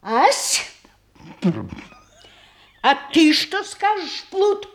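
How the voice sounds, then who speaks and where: A sly old provincial grandmother with a high voice. She takes her time over every line, teasing and merry in a folksy, old-fashioned way. It is theatrical verse comedy made for radio. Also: lively intonation, drawn-out words, heavily acted. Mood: teasing, coaxing.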